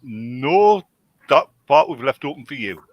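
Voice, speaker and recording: A man's voice: medium pitch, sing-song, unhurried. A man bantering casually as a panelist, teasing with dry quips. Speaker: panelist on an old-time radio listening show. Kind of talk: casual joking chat.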